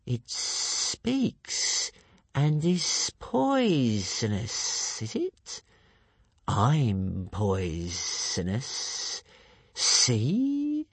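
Huge dim monster, drawling very slowly, hissing and husky, sulky menace for a children's story. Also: male voice, mid-range voice, wildly swooping pitch, fairly bright tone, dry recording, heavily acted. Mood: menacing, musing. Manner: slow, drawn-out, musing, simple broken grammar. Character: huge slow-witted male tentacled cave monster, menacing, sulky. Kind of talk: children's audiobook character voice.

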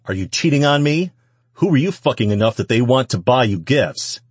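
Man acting out a snarling, shouting abusive husband. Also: medium pitch, expressive, normal speed, husky, no echo, voice pushed up. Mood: enraged, menacing.

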